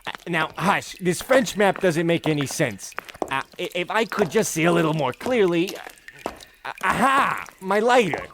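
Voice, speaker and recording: An actor playing a pompous, blustering American tourist husband in a comedic radio play, exclaiming in an American accent. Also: male voice, high voice, expressive, moderate pace, noisy background. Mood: bumbling.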